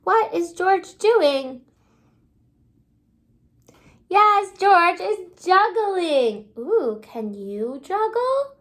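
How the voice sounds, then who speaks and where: A woman kindergarten teacher reading a storybook aloud to young children in an exaggerated, child-directed way, pausing to ask simple questions. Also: very high voice, sing-song, a bit bright. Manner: asks simple questions, pausing as if listeners answer.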